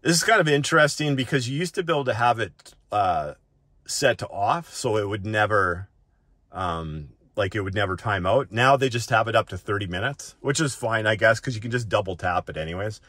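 Guy with a lowish voice doing a laid out settings tutorial, informal and off the cuff, dry recording. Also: expressive, brisk, a bit bright, no room sound. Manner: informal, often ends points with a checking "right?". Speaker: tech reviewer presenting a settings walk-through. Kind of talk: casual unscripted tutorial.

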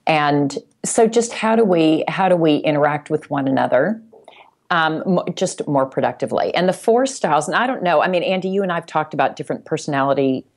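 A woman's voice: deep voice, lively intonation, brisk. A woman speaking as a podcast guest in casual conversation, explaining things reflectively step by step. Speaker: podcast guest, sales executive.

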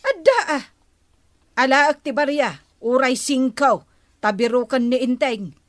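Casting: bossy, put-upon village wife, Ilocano accent, highish voice, dry close radio comedy sound. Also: female voice, animated, a little slow, no echo. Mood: firm. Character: aggrieved village wife in debt, bossy with husband.

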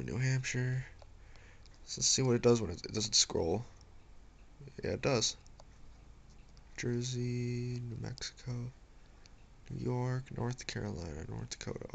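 A man's voice: medium pitch, creaky, a bit bright. A man giving casual setup commentary, muttering to himself and reading screen prompts aloud. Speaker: amateur gadget reviewer. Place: small indoor room at home. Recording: faint background noise with device clicks.

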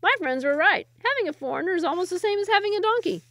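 A woman voicing a cruel male mill owner who mocks and gloats loudly. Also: very high-pitched, lively intonation, fast, no room sound, pitched above the performer's own voice, full character voice. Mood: gloating. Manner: mocking, boisterous.

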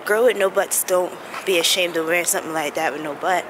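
A young woman chatting casually while vlogging on city streets, telling stories with lots of feeling. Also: fast. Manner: animated storytelling, acts out friends in a higher voice. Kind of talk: casual chat. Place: city streets at night and outdoors. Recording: loud street noise.

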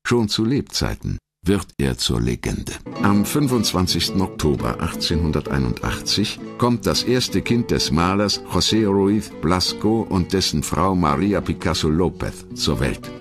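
Very deep-voiced male documentary narrator. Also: big pitch swings, measured pace, music in the background. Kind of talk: scripted narration of an audio biography.

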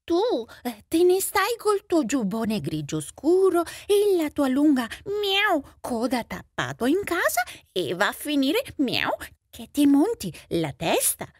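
A woman's voice: extremely high pitch, huge pitch swings, slow, gravelly, no room sound, clean studio sound, voice pushed up, heavily acted. A woman voicing a sly, greedy male cat in a fairy tale, peppering the speech with meows and hums. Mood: scolding, resentful.

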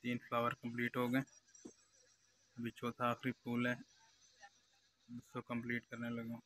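Young male artisan host, Pakistani accent, very flat pitch, narrating his handiwork step by step over clear workshop noise. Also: a little slow. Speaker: glass engraver, channel host. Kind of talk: live craft demonstration commentary. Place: glass cutting workshop. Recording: noisy workshop background hum.

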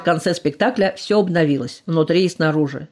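A woman's voice: low-pitched, a little fast, darkish tone, faint music behind. A woman reading a scripted news voice-over as the narrator of a report.

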